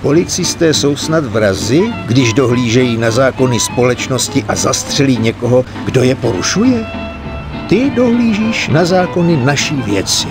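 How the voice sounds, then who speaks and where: Man playing an older mafia adviser, lively intonation. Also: medium pitch, lightly acted. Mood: admonishing, earnest. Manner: measured, admonishing. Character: older, fatherly mafia adviser, measured and stern. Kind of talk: dramatic game cutscene dialogue. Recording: prominent music under the voice.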